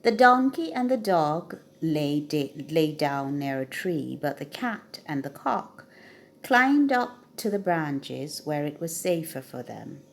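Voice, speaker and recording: A woman reading a children's story aloud with expressive, dramatic emphasis. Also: sits low, slow, creaky.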